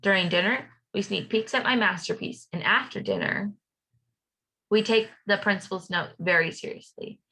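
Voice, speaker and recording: Woman reading as a bright-voiced, dramatic young schoolboy. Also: medium pitch, normal speed, slightly rough, heavily acted, low-quality recording. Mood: warm storytelling. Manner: expressive, rhythmic, dramatic. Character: young schoolboy narrator, playful, dramatic, stuck in glue. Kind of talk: children's storybook read-aloud.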